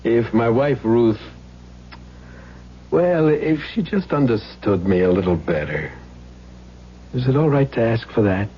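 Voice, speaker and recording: An actor playing a kindly, humble, flustered husband who speaks hesitantly in an old-time radio drama. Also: male voice, medium pitch, lively intonation, measured pace, long pauses, close to an everyday voice. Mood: humble, wistful. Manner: hesitant, stammering, anxious. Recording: dull, noisy old radio recording.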